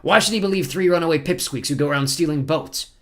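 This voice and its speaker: A man's voice: fairly high pitch, fast, a bit bright, voice pushed up, close to an everyday voice. A man voicing a menacing, sly one-eyed sea captain villain with a mocking, theatrical manner in a storybook reading. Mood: dismissive.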